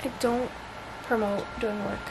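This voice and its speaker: A woman's voice: fairly high pitch, steady tone, unhurried, loud music behind the voice, low-quality recording. A young woman in her twenties chatting casually to camera from her bedroom, bubbly and full of fillers. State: congested. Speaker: vlogger and college student. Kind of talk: casual vlog chat to camera. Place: small bedroom indoors. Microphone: camera at arm's length.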